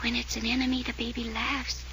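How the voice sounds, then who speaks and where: A frightened child in a 1940s radio thriller. The voice is high, plaintive and breathless, with an eerie insistence. It comes through an old, slightly noisy transcription. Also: female voice, level tone, a little fast, gravelly, pushed and bright. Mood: eerie, insistent. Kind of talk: 1940s radio mystery acting.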